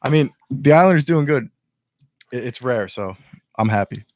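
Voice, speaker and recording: A man's voice: medium pitch, lively intonation, normal speed, darkish tone, no room sound. A young man guesting on a casual chat show, earnestly breaking down his team's chances. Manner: earnest, explains at length with restarts.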